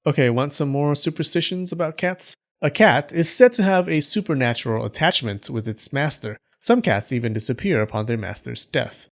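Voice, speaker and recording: A man narrating a scripted folktale in a humorous storytelling style, with deadpan joking asides. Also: on the high side, moderate pace, few pauses. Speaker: storyteller, channel host.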